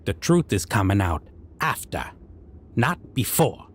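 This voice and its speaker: A man voicing a slow, low, stern goblin king. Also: very animated, slight background noise. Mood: coldly threatening. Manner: broken, unusual grammar with drawn-out questioning. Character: civilized male goblin king, stern, deliberate, menacing judge. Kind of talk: scripted roleplay audio drama monologue.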